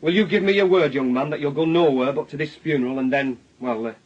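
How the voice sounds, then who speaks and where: An actor playing a polite, hesitant policeman with a measured, official manner in a radio drama. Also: on the high side, close to an everyday voice. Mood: careful, official. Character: cautious, polite plain-clothes policeman, adult male. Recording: dull radio drama recording.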